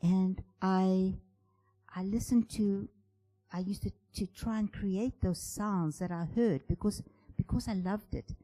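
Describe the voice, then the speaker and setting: A man, a composer and bass player, tells his personal story in a conference talk, reflective and halting, often dropping to a hushed voice. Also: fairly even intonation, poor audio. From South Africa.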